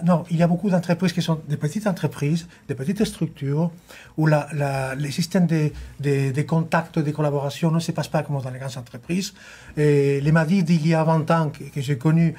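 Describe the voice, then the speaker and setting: A man's voice: fairly high pitch, darkish tone. A man speaking as a guest on a studio panel, hesitant, with many restarts.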